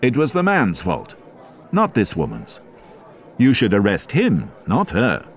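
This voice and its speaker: A kind mayor in a storybook reading, soft and firm, his voice low and expressive, voiced by a male narrator. He reassures gently without giving ground. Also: measured pace. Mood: gentle.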